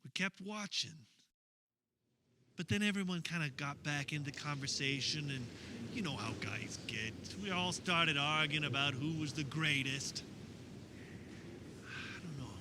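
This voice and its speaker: An actor playing an earnest, uneasy disciple of Jesus, telling his story in a halting, conversational radio theater monologue. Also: a little high, background noise, natural voice. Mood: uneasy, wondering. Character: earnest, uneasy male disciple of Jesus recalling the last supper. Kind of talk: dramatic first-person radio theater monologue.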